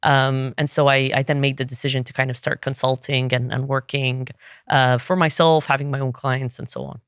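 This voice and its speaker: Smooth-voiced woman from Egypt. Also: very deep voice, flat delivery, normal speed, no room sound, clean studio sound. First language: Arabic. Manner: thoughtful, frequent restarts and fillers. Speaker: podcast guest, SEO consultant. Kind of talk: casual interview talk.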